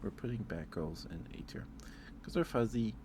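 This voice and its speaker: A man's voice: lowish voice, animated, moderate pace, background noise. A man chatting casually on an ASMR livestream, rambling hesitantly and repeating his phrases, close to the microphone.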